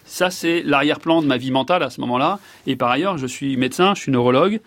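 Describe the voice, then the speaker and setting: A man's voice: mid-range voice, fast talker, fairly bright tone. A man in his fifties, a neurologist guest, giving long reflective answers with many fillers and restarts. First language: French.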